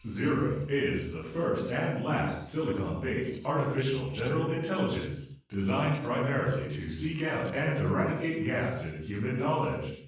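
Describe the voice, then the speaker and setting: A man voicing an anxious, polite, humanlike artificial intelligence in an emotional audio drama, speaking in a measured, formal, hesitant way. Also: mid-range voice, animated, close to an everyday voice. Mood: reluctant, presentational. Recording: echoey, distant-sounding room.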